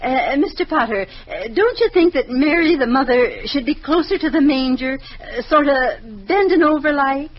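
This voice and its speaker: A woman's voice: high-pitched, lively intonation, moderate pace, few pauses. An American actress playing a humble, kindly mother and store cleaner in an old-time radio drama, deferential and a little hesitant. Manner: deferential, gentle, slightly hesitant. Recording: muffled, noisy old radio recording.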